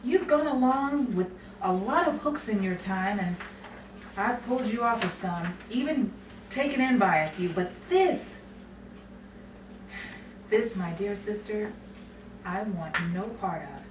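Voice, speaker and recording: A woman's voice: medium pitch, expressive, measured pace, long pauses, lightly acted. A woman playing a sensible, stern elder sister who works as a bank clerk in a 1950s crime melodrama, with formal, indignant phrasing. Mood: scolding, exasperated. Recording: echoey, distant, noisy room recording.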